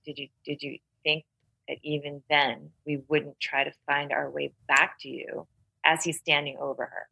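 Low, gravelly woman, thinking aloud. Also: little pitch movement, normal speed, pushed and bright, dry recording, low-quality recording. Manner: thinks aloud, often checking with "right?". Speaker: literary podcast panelist. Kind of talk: casual literary discussion.

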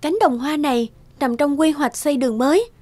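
A woman's voice: high voice, fairly quick, warm tone, no echo, close to an everyday voice. A woman voicing a cheerful, helpful young hospital nurse in a cartoon, speaking in a polite, chatty way. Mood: sympathetic, explaining. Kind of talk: cartoon voice acting.

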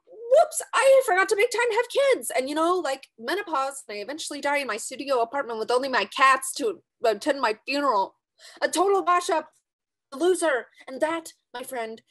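Casting: woman as nervy, sarcastic American high school girl for a staged play, high voice, theatrical rambler. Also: a bit bright. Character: anxious, dramatic teenage girl, aspiring student and ballet dancer. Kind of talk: virtual staged play reading. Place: bedroom, indoors. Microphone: computer video call.

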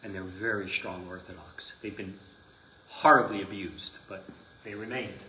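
Bright-voiced middle-aged priest teaching in echoey room. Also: male voice, deep voice, fairly even intonation, normal speed. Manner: conversational, emphatic, rhetorical questions and asides. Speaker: Orthodox priest teaching a class. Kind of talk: informal live lecture to a class. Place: small indoor classroom. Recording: echoey room, some noise, mic at a distance.

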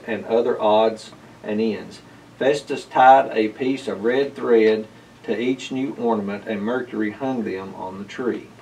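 A man's voice: medium pitch, darkish tone. A middle-aged man reading a children's story aloud as a narrator. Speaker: storybook reader. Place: indoors, library with bookshelves. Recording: slightly distant mic, faint room noise.

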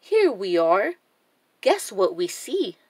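A woman hosting a children's story time, reading a picture book aloud expressively with deliberate phrasing. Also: mid-range voice, sing-song, darkish tone, slightly rough, dry recording. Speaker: children's storybook reader and channel host.

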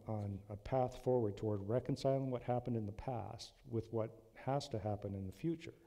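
A man in his sixties giving an earnest, measured sermon from a church lectern, with frequent ums and restarts. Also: mid-range voice, mellow. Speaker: lay preacher. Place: church sanctuary. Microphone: lectern mic. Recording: long hall reverb in a church.

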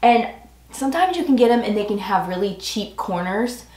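A woman in her forties talks casually to her viewers about home decor products. Her voice is medium in pitch and a little hoarse, with a chatty, enthusiastic delivery. The sound is roomy, as if recorded indoors a few feet from the microphone. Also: expressive, normal speed, darkish tone. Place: small furnished rooms at home, indoors. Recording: roomy echo.

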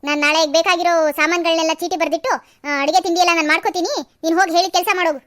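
A woman's voice: very high voice, steady tone, rapid-fire, no room sound, clean studio sound. Woman voicing a nagging stern mother-in-law. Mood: scolding. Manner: nagging, sharp scolding. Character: stern scolding middle-aged mother-in-law. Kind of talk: Kannada audio drama.

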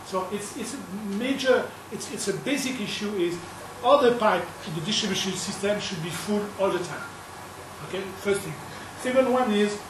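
European male panelist in his fifties, animated and high-voiced, recorded from a distance in a roomy hall. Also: background noise. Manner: animated, explaining with points in order. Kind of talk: panel discussion answer. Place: conference hall panel table. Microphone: table mic, close camera.

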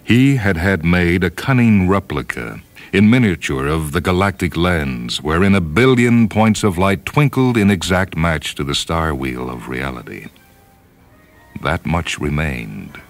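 A man reading a science fiction story as solemn, measured, dramatic narration. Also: low-pitched, animated, no echo. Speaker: short story reader. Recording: voice over background music. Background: orchestral and piano music.

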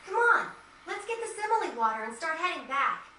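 Game role: self-assured young female fighter, feisty, brisk, bright, roomy noisy capture. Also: very high voice, sing-song, recorded from a distance, exaggerated voice. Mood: confident, casual. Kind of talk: video game acting.

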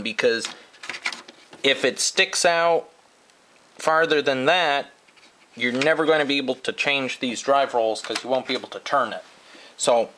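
A man giving a hands-on how-to lesson at a workshop bench, explaining each step slowly and methodically as he works. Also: mid-range voice, a bit bright, a little hiss or hum. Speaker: DIY welding instructor.

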